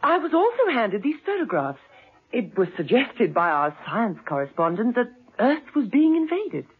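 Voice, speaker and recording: A woman's voice: fairly high pitch, sing-song, normal speed, breathy edge, narrow, tinny audio, exaggerated voice. An actress playing a poised, witty British lady secret agent in a 1970s radio drama, arch and teasing in manner. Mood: smooth, probing. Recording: thin band-limited old radio recording.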